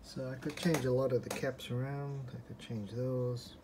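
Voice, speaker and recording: A man with a medium-pitched voice talks through a hobby repair in an informal, unscripted way. He explains as he goes, somewhat hesitantly, thinking aloud. It is recorded on a handheld phone indoors, with faint noise in the background. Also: flat delivery, moderate pace. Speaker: hobbyist restorer presenting a repair. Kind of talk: informal unscripted workbench walkthrough. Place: indoors at a desk in a room. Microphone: handheld phone or camera, behind the lens.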